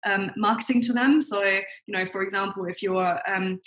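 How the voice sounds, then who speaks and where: A woman's voice: mid-range voice, steady tone, moderate pace, fairly bright tone. A young adult woman who grew up in New Zealand and Germany, giving chatty, conversational interview answers peppered with "like" and "you know". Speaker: guest, marketing consultant.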